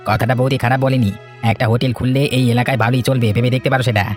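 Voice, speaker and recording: A man's voice: medium pitch, steady tone, racing, soft background music, lightly acted. A man playing a concerned, friendly young pal in a cartoon, with an inquisitive manner. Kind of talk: cartoon voice acting, domestic moral story.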